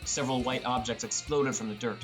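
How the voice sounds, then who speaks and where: Male audiobook narrator over soft music. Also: medium pitch, fairly even intonation, fairly quick. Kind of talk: scripted first-person story narration.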